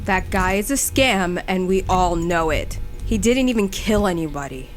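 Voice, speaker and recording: A woman's voice: fairly low pitch, animated, normal speed, soft background music, lightly acted. A woman playing the Jersey Devil, a grumpy, territorial cryptid who talks in a blunt, scornful way in an audio drama. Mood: scornful, irritated.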